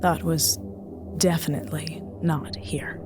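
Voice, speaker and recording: An actress playing a bitter, commanding spaceship captain in an audio drama, speaking tersely with sardonic distrust. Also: female voice, sits low, fairly even intonation, slow. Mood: wary, puzzled. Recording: music under the voice. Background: dramatic music.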